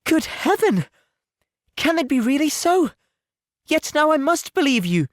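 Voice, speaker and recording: A man voicing a sweet, earnest young gentlewoman in an audiobook, exclamatory, affectionate and anxious. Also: extremely high pitch, very animated, unhurried, no echo, clean studio sound, pitched above the performer's own voice, heavily acted. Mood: amazed, affectionate. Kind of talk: audiobook character voice.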